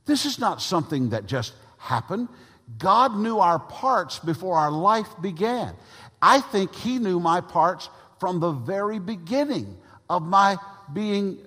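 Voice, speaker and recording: A man preaching from the pulpit with a big voice that rises and drops with lively, animated pitch. His tone is somewhat husky, and his words ring out through a church hall with a long reverb behind them. He shifts between loud, stressed phrases and softer asides to the room. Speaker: pastor. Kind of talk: sermon. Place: church sanctuary.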